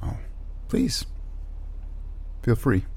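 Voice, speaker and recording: An actor playing a gentle, flustered male scientist in an audio drama, hesitant, stammering and soft-spoken. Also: drawn-out words. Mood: tender.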